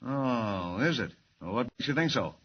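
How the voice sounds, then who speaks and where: A man acting a gruff, folksy, blustering train conductor in 1940s radio drama style. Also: mid-range voice, expressive, normal speed. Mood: gruff, skeptical. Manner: folksy, ungrammatical, blustering talk. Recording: noisy, muffled 1940s radio recording.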